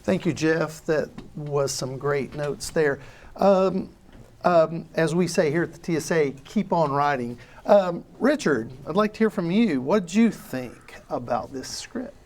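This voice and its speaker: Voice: mid-range voice, expressive, a little slow, darkish tone. A host moderating a feedback discussion at a table read, calling on speakers in turn.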